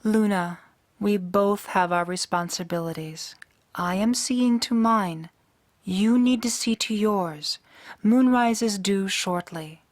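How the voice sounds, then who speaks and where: A woman acting a stern, weary, duty-bound pony princess in a radio drama, speaking formally and gravely. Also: medium pitch, slow, no echo, clean studio sound, close to an everyday voice. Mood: firm, dismissive.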